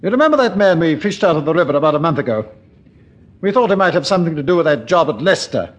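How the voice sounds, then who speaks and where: A senior British police chief in a 1940s radio play. His voice sits fairly high for a man and swings widely as he speaks. He is brusque, pompous and short on patience, used to giving orders. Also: very animated, fast, lo-fi sound. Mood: grave. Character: irritable, authoritative senior Scotland Yard police chief.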